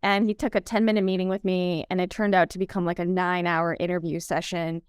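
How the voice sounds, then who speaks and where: A woman's voice: lowish voice, flat delivery, quick pace, clean tone, no echo, clean studio sound. A woman in her thirties from Canada, a guest telling her story in a casual interview, chatty with many fillers. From Canada (Vancouver). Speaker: guest, entrepreneur.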